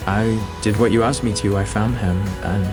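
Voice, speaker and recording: A man playing a cool private investigator in an amateur voiced roleplay, speaking in a deliberate, dramatic, businesslike way. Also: fairly low pitch, steady tone, natural voice. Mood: grave. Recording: music behind the voice.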